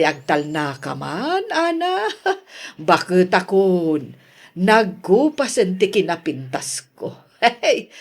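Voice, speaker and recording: A woman playing an older mother in a radio drama, exclamatory and chuckling, with drawn-out phrases and an Ilocano accent. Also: on the low side, heavily acted. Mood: wistful, playful. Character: older mother reminiscing about her lost beauty, lively and teasing.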